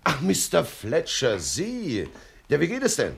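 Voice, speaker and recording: An actor playing a suave, wordy swindler boss with mock-courteous manners in a radio crime comedy. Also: male voice, on the high side, huge pitch swings, unhurried, vocal fry, husky, exaggerated voice. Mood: breezily confident. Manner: verbose, mock-courteous, hesitant filler words. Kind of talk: 1970s German radio crime comedy acting.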